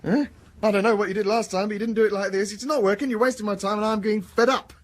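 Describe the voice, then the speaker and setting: An actor playing an edgy, self-important British businessman as a nervous dental patient in a radio drama, hesitant and prickly, with a husky voice. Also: high voice, steady tone, racing, close to an everyday voice. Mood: irritated. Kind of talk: radio horror drama acting.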